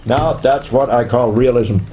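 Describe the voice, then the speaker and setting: A man's voice: mid-range voice, unhurried, dark tone, a little hiss or hum, close to an everyday voice. An actor playing a brash, domineering male corporate boss in a radio play. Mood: excited, pleased. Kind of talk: scripted radio play acting.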